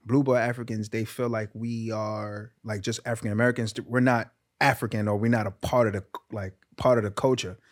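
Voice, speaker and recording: A man's voice: lowish voice, flat delivery, a little fast, hardly any pauses. A young man, a podcast guest talking frankly and casually, with lots of "like" as he goes. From Brooklyn, New York. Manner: frank, laid-back, heavy use of "like".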